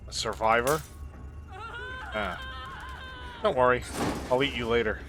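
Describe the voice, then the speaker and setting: A man's voice: mid-range voice, a little slow, background noise. A man giving in-character video game commentary with a wry, playfully menacing manner. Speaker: gaming video host.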